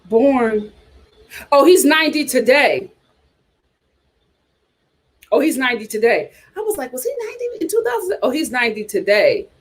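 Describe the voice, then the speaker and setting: A woman with a fairly high voice hosts a casual reality TV chat. She is animated and opinionated, talking straight to her viewers as she reacts to their comments. Her delivery is blunt and gossipy, with phrases repeated for emphasis. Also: moderate pace, long pauses. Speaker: host of a reality TV chat show.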